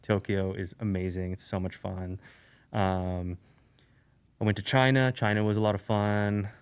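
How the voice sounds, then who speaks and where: Laid-back man from Eastern Europe, lowish voice. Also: unhurried, clean tone. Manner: relaxed, rambling, many fillers and restarts. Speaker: guest, sneaker YouTuber. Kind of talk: casual podcast conversation.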